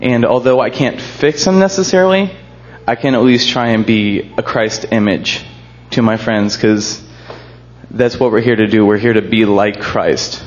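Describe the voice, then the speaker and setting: A young college-age man with a booming voice speaks into a handheld mic in a church hall. He sounds earnest and emotional, slightly shaky, and he jokes about himself now and then. The recording is muffled and lo-fi. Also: a little slow. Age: young adult. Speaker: college student sharing a testimony. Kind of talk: church group testimony.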